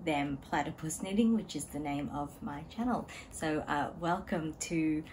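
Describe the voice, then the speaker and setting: A woman chatting casually to camera as a knitting vlogger at home, relaxed and chatty with frequent ums and small laughs. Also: deep voice, normal speed, a little hiss or hum, rough recording. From Australia. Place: home room beside a desk.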